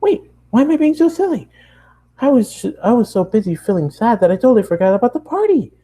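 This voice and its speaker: A man voicing a bubbly, chattering, excitable young female cartoon pony who works as a baker. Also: very high voice, voice pushed up, full character voice. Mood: excited. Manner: rapid-fire, gushing, exclamatory chatter.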